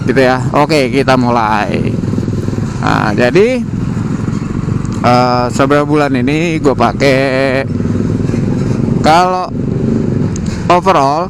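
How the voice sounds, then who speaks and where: A man, chatting informally in a halting solo vlog while riding, often repeating words for emphasis. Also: medium pitch. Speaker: motovlogger and product reviewer. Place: outdoors, riding a motorcycle on the road. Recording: loud motorcycle and road noise. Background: engine, traffic and outdoor noise.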